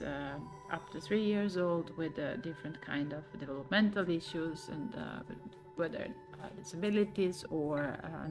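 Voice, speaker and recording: Thoughtful woman, low voice. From Serbia. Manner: thoughtful, many pauses and fillers. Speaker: choreographer. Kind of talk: reflective interview answers.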